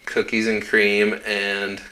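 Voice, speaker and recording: A man's voice: lowish voice, completely flat delivery, slow, crowd noise, low-quality recording. A man co-hosting a podcast, chatting playfully and cracking jokes. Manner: joking, playful. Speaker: podcast co-host. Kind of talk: casual chat.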